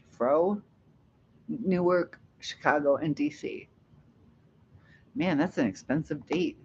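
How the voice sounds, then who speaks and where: A middle-aged woman from Ohio hosting a casual livestream, rambling and laughing as she reads the chat. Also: low-pitched. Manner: chatty, rambling, laughs often. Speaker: livestream host. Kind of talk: casual live chat, reading comments. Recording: dull lo-fi livestream audio.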